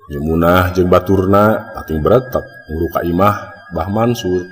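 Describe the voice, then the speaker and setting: Deep sundanese guy telling a spooky folk tale, big reverb, music under. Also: moderate pace. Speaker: storyteller. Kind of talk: dramatic Sundanese-language mystery folk tale narration. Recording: long reverb, music behind.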